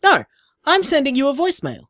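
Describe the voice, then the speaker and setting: A man's voice: extremely high pitch, wildly swooping pitch, fairly quick, a bit bright, no room sound, clean studio sound, full character voice. A man playing an affectionate, playful young bar owner, chatting casually as if leaving voicemails in an audio drama. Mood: playful teasing.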